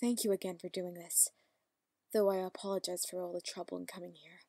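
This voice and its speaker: A woman's voice: lowish voice, level tone, a little fast, vocal fry, background noise. A woman voicing a polite, formal, apologetic female pony in an amateur audio drama.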